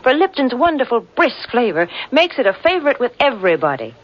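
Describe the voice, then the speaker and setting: A woman's voice: fairly high pitch, sing-song, brisk. A woman delivering a scripted 1940s radio commercial in a genteel, polished, persuasive manner. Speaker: radio sponsor announcer. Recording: dull vintage radio recording.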